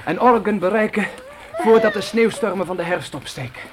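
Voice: mid-range voice, a little fast, crowd noise, natural voice. An actor playing a stern, determined eldest brother, a pioneer boy, in a radio play, speaking firmly and urgently. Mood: urging. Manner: firm, urging. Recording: noisy old radio drama recording.

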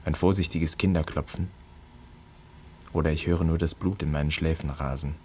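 A man's voice: rumbling low, steady tone, moderate pace, darkish tone, a little hiss or hum. An actor playing a bitter grown son who broods and declaims against his absent father in a literary radio drama. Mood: haunted, brooding. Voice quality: husky. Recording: long reverberant hall.

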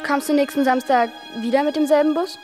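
Teenage village girl for radio play, woman actor: high voice, naive, insistent, halting delivery. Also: fairly even intonation, music in the background, lightly acted. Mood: hesitant, reserved. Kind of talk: radio drama dialogue.

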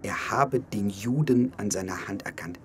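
A man's voice: on the low side, normal speed, slight background noise, natural voice. An actor playing an earnest, indignant young German man who recounts a court trial in a radio drama.

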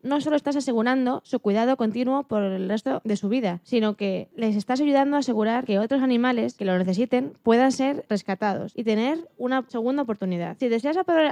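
Female radio presenter, slightly high voice, scripted read, close dry sound. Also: darkish tone, dry recording. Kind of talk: scripted radio appeal narration.

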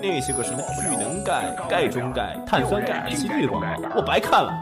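Man acting an excitable, blustering dog owner, wildly swooping voice. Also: mid-range voice, fairly quick, close to an everyday voice. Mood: incredulous. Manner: blustering, foul-mouthed, argumentative. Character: excitable, distrustful male dog owner, devoted to his pet. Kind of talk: modern audio drama acting. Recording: studio drama with music behind.

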